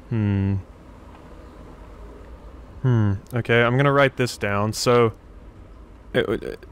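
A man's voice: medium pitch, a bit bright, clear, resonant tone. A man giving casual game commentary, musing to himself as he works things out. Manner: thinking aloud, musing to himself. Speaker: let's play host.

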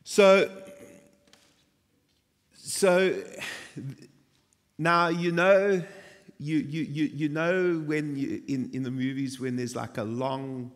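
A man in his forties delivers a sermon on a lit church stage at a middle pitch. He tells stories with playful, self-deprecating asides. His voice carries a long reverb from the hall. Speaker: pastor.

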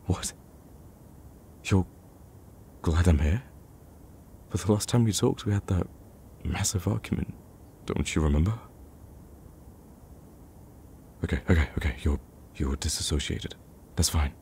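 Man acting a very deep-voiced wounded friend. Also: gravelly, a little mellow, a little hiss or hum. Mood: confused, gentle. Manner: halting, stammering, sighing. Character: bitter, betrayed young male friend turned worried and protective. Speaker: voice actor in audio roleplay. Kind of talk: emotional ASMR roleplay acting.

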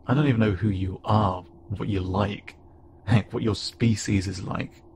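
A man playing an awkward, gentle insect-like alien pilot who speaks haltingly and unsure of himself, in an intimate audio roleplay. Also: on the low side, steady tone, natural voice. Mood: worried, unsure. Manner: hesitant, halting, stammering and self-doubting. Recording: clearly noisy, with ambient sound bed.

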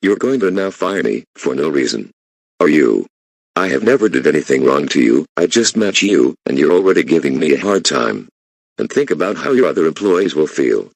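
A man's voice: low voice, big pitch swings, moderate pace, gravelly, husky, no room sound, exaggerated voice. A man voicing a stressed, defiant employee who whines and complains. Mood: defensive. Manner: whining, complaining. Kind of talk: amateur voice acting.

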